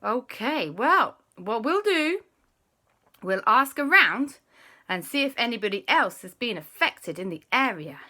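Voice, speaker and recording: Eager curious scientist, a woman putting it on, bright and animated. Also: a little high, unhurried, pitched above the performer's own voice, exaggerated voice. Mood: excited.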